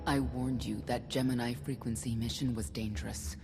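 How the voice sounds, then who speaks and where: Guy doing a gruff gravelly ally, grim warning, echoey hall. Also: medium pitch, little pitch movement, moderate pace, music in the background, natural voice.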